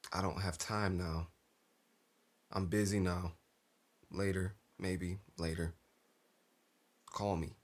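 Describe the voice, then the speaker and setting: An actor playing a tormented, resigned killer and estranged brother in a stage drama, speaking haltingly in a gravelly, husky voice. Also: male voice, low-pitched, exaggerated voice. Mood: bitter, wistful. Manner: slow, halting, haunted.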